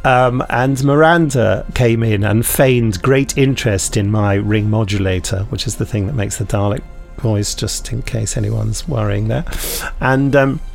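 A man's voice: fairly low pitch, a little slow, creaky, few pauses, faint music behind. A British man hosting a podcast, chatting casually with rambling, self-mocking, dryly jokey anecdotes. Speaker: podcast host and audio drama maker.

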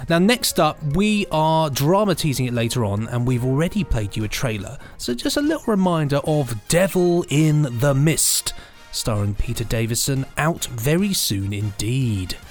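A man's voice: mid-range voice, sing-song, normal speed, faint music behind. A man presenting news casually as a podcast host, with an encouraging manner.

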